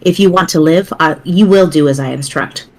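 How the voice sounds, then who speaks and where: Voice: mid-range voice, brisk, darkish tone, natural voice. A performer reading as a wry, grave teenage girl writing a doomsday walkthrough in formal, verbose diction for a webcomic dramatic reading. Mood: grave, doomful.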